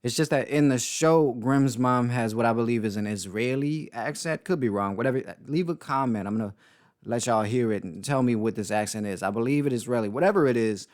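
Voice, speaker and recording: A man's voice: mid-range voice, a little fast, a little mellow. A man giving casual video commentary on a cartoon, wry and joking in a conversational way. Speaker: YouTube commentator.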